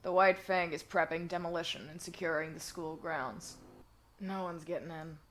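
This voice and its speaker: A woman voicing a stern, weary villain's henchwoman in an animation fandub, speaking in a quiet, grave, measured way. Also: lowish voice, fairly even intonation, a little hiss or hum, lightly acted. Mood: grave, calm. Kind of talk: dramatic animation fandub acting.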